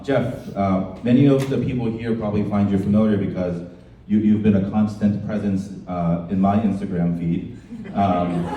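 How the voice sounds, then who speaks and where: A man hosting a live panel discussion in a halting, informal way. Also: low-pitched, warm tone. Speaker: event host and panel moderator. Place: indoor department store event. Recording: roomy echoing event space, distant mic, faint noise.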